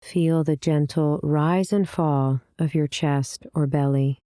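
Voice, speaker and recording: A woman with a low, smooth voice teaches guided meditation. Her delivery is calm and measured, with gentle instructions. The recording is dry and close, without room sound. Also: dry recording. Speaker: meditation teacher, series host.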